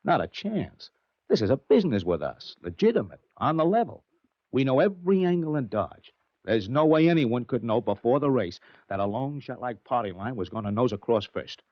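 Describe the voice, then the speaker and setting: A man's voice: medium pitch, sing-song, moderate pace, no room sound. A male American actor playing a worried, plain-spoken betting-office boss in 1940s radio drama, slangy and emphatic. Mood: insistent. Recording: dull, dry old radio recording.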